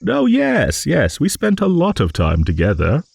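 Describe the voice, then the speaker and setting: A man's voice: on the high side, wildly swooping pitch, unhurried, vocal fry, slightly rough, no room sound, heavily acted. A man voicing a rambling old dragon, genial, wistful and a little vain, in an improvised fantasy audio monologue. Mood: fond reminiscing. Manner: rambling, musing, with hums and soft chuckles.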